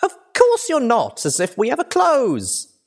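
A man plays a manic little pony filly from a storybook, bubbly and gushing. The voice is pitched very high and swoops wildly, loud and theatrical with excitement. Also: moderate pace, exaggerated voice. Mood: giddy, excited. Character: manic, bubbly young female pony filly tour guide. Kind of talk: animated audiobook character voice.

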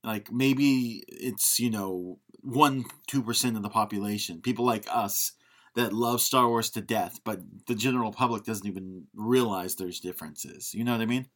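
A man's voice: fairly low pitch, moderate pace, fairly bright tone. A man co-hosting a podcast, chatting about film in a joking, conversational manner. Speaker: podcast co-host. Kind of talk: casual film discussion.

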